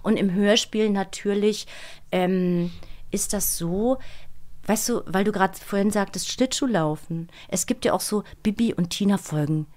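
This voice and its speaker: A middle-aged woman, a voice actress, talking in a studio interview in a lively, expressive way and acting out little scenes. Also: medium pitch, a little slow. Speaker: voice actress guest. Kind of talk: interview conversation. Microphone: studio microphone.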